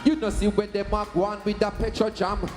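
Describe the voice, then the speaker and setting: A Jamaican man performs spoken social commentary in patois. His voice is high and stays on a flat, even pitch, with faint music behind. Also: a little fast.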